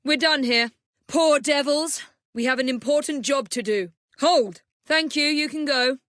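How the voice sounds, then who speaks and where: A woman voicing a confident young Victorian English assassin and gang leader in a video game, crisp, commanding and wryly polite. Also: high-pitched, measured pace, a bit bright, no room sound, clean studio sound. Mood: cool, controlled.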